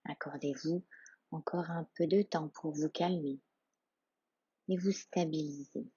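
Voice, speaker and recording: A woman leading a guided yoga nidra meditation as instructor, hushed, calm and soothing, leaving long pauses between short phrases. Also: sits low, breathy edge, dry recording, muffled recording.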